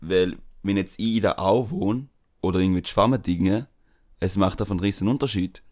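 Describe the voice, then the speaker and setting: Young swiss german guy on a podcast, lowish voice, laid-back slangy storytelling with lots of ums. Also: a little fast. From Switzerland. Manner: relaxed slangy storytelling with frequent fillers. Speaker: podcast host. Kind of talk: casual chat.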